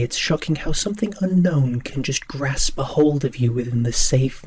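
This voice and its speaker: Guy playing creepy polite radio horror host, husky voice, takes his time, very theatrical. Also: mid-range voice, a little mellow. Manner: formal, ominous, unruffled. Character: courteous, theatrical host of a spooky radio show. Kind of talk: horror radio drama hosting.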